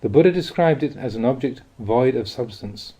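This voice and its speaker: A man reads Buddhist teachings as an audiobook. His voice is mellow and a little husky, with a mid pitch and lively rises and falls. The delivery is measured and explanatory. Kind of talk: audiobook reading of a spiritual talk.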